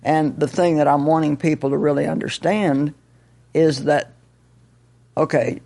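A man's voice: fairly high pitch, fairly even intonation, measured pace, vocal fry, darkish tone. A man in his seventies, a minister and author, talking frankly and reflectively on a chat show. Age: 70s. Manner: reflective, candid, frank storytelling with self-deprecating humor. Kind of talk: casual talk-show chat.

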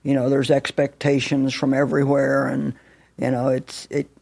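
Older man, 70s, gravelly and a bit husky, frank minister type for talk-show chat. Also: medium pitch, completely flat delivery, normal speed, a little mellow. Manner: reflective, candid, frank storytelling with self-deprecating humor. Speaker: minister and author.

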